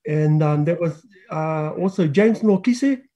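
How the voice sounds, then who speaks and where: A man's voice: on the high side, expressive, a little slow, warm tone, husky. A man from Tokelau, giving a casual interview and hesitantly thinking aloud. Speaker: interview guest, musician and music teacher.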